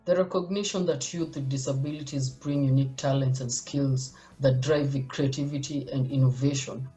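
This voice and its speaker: A man's voice: mid-range voice, steady tone, normal speed, dark tone, faint music behind, poor audio. A man from Kenya making earnest advocacy statements with slight hesitations.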